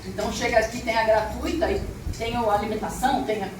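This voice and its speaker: A woman's voice: on the high side, fairly even intonation, fast. A middle-aged woman, a storyteller and arts teacher, telling stories expressively and chatting directly with a live audience. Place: echoey room with a live audience. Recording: echoey room, distant mic, noisy ambience.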